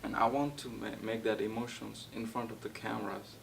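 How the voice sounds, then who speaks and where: A young man from Nepal, a guest actor, answering interview questions in a halting way with many fillers and restarts. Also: flat delivery, creaky. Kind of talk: casual interview. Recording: distant mic with faint rumble.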